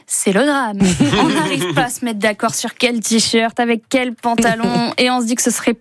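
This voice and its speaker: A woman presenting the morning radio news, brisk with frequent fillers. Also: on the high side, fairly even intonation, dry recording, studio quality.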